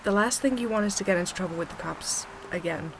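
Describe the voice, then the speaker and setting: A woman playing a worried, caring colleague in an audio drama, speaking gently and with concern. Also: on the low side, fast. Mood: cautioning. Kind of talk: naturalistic audio drama acting. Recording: clear ambient noise behind.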